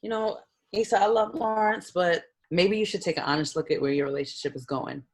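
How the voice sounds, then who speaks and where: A woman's voice: fairly low pitch, a little fast, close to an everyday voice. A woman playing a blunt, guarded professional in a screenplay table read, talking casually and frankly. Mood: frank, concerned.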